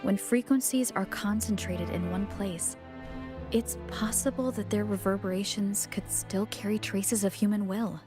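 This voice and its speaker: A woman playing a gentle young adventurer in a video game, speaking softly and earnestly to comfort someone. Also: medium pitch, fairly even intonation, normal speed, natural voice. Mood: gently reassuring. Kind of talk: English video game voice acting. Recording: game audio with music behind. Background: background music.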